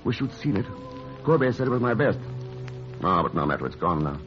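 Wry, wounded romantic man, very expressive. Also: on the low side, brisk. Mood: wistful, hurt. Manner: hesitant, bantering, wistful. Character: wounded, wry romantic painter visiting his lost love. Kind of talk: classic radio drama acting. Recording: muffled old radio broadcast, music underneath.

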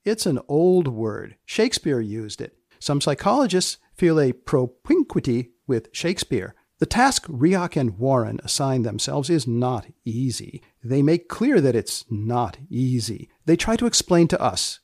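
A man hosting a podcast, narrating in a deliberate, deadpan way with phrases repeated for emphasis. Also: mid-range voice, no echo, studio quality. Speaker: podcast host. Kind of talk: scripted humorous narration of research studies.

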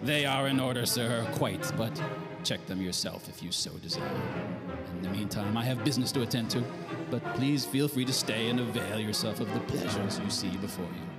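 A man's voice: fairly low pitch, normal speed, loud music behind the voice, natural voice. Man playing a smug, genteel nightclub owner. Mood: amused, evasive. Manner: affectedly refined, loquacious, chuckling. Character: smug, genteel middle-aged male nightclub owner, shady and soft. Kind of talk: 1940s noir radio drama acting.

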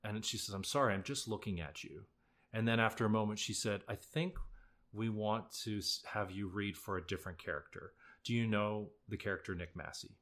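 Laid-back man with a bright low voice. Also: brisk. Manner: laid-back, self-deprecating, chatty. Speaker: musical theatre actor, guest. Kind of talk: casual storytelling interview.

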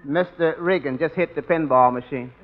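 An actor playing a sarcastic tavern waiter in a 1940s radio comedy, firing off deadpan comebacks. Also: male voice, on the high side, moderate pace, close to an everyday voice. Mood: wisecracking. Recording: muffled old radio broadcast with loud hiss.

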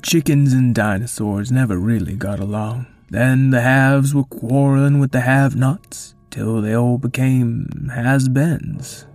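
Old tired dino guy, slow and grave, man doing the voice, quiet music under. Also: mid-range voice, steady tone, dry recording, close to an everyday voice. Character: old wise male triceratops, calm and weary.

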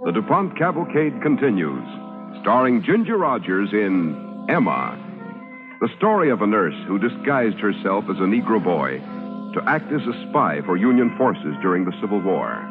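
A man's voice: on the low side, very animated, moderate pace, music in the background. A man announcing in a formal, stately mid-century radio style. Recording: muffled old radio broadcast.

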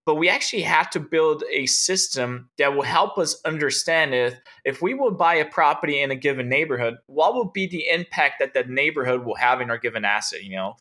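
Guy from brazil being interviewed, bright forceful voice, says "like" a lot, keeps restarting. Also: on the high side, expressive, a little fast, few pauses. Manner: rambling explanations full of "like" and restarts. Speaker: guest, real estate tech founder. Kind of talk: casual interview talk.

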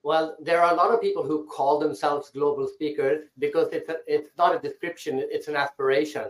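A man's voice: on the high side, steady tone, fairly quick. A man from Sweden, a guest speaker answering interview questions thoughtfully and often restarting his words. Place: rooftop of his island house. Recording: echoey online audio.

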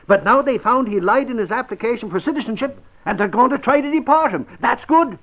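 An actor playing an excitable, indignant newspaperman sidekick in an old radio drama. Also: very high-pitched, brisk, exaggerated voice. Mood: indignant, pleased. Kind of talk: 1950s radio drama acting. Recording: dull old radio recording.

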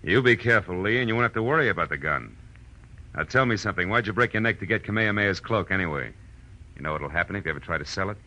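An actor playing a tough, wry private detective in a vintage radio drama, dry and terse. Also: male voice, deep voice, lively intonation, rapid-fire, sharp tone, heavily acted, rough recording. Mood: wry, probing. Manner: hard-boiled, dry, terse. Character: tough, wry male private detective narrating his case. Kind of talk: 1940s radio drama acting and narration.

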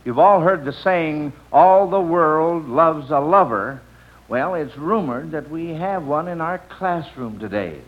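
A man's voice: fairly high pitch, expressive, a little slow, narrow, tinny audio. An American man hosting an old-time radio quiz show, on a vintage recording.

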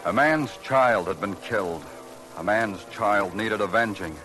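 A man's voice: fairly low pitch, measured pace, lightly acted, poor audio. A man acting a weary hard-boiled American police detective who narrates his case in clipped, dramatic old-time radio style. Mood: brooding. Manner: clipped, dramatic, brooding delivery. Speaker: lead actor and narrator. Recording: vintage radio recording with music.

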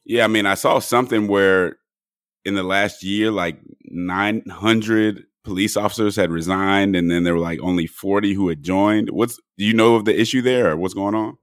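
A man hosting a podcast in relaxed conversational talk, his voice a little hoarse and cracking. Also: on the low side, normal speed, dry recording, studio quality. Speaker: podcast host. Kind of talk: casual podcast chat.

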